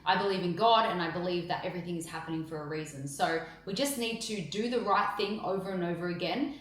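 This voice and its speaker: A woman's voice: on the low side, fast, a bit bright, breathy edge. A woman speaking outspokenly and with emphasis, answering interview questions and giving a direct update. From Australia. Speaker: campaigner being interviewed.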